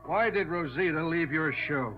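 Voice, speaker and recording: An actor playing a tough, blunt, slangy police sergeant in a 1940s radio crime drama. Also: male voice, fairly high pitch, moderate pace, close to an everyday voice. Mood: blunt, challenging. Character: tough, gruff-talking male police sergeant. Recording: muffled old radio broadcast, faint music.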